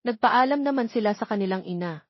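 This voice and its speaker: A woman's voice: medium pitch, animated, quick pace, no echo. Woman narrating, slightly dull dry recording. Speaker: audiobook narrator. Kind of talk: scripted story narration.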